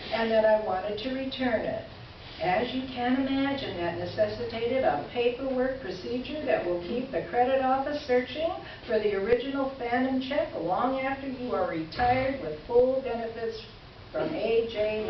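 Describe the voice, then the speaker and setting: A woman performs a wry, kindly female correspondent reading her letter aloud on stage. Her delivery is measured and theatrical at a medium pitch. The sound is distant and heavily echoing, with a muffled tone. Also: lightly acted. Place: live stage presentation in a roomy venue. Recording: distant, echoey, muffled live stage recording, noisy.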